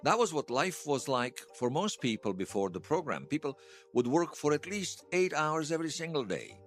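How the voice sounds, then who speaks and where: Nostalgic man, lively storytelling over music. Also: a little high, normal speed. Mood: reflective, explanatory. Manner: reminiscing, conversational, wryly amused. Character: nostalgic man recalling lost love in a future world. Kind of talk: audio drama, conversational interview testimony.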